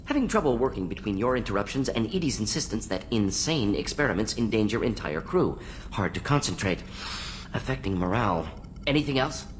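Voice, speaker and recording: A man's voice: on the low side, big pitch swings, fairly quick. A man voicing a fussy, busy alien scientist in a video game, terse and clipped, dropping small words. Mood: irritated. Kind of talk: video game character dialogue. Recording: faint background noise.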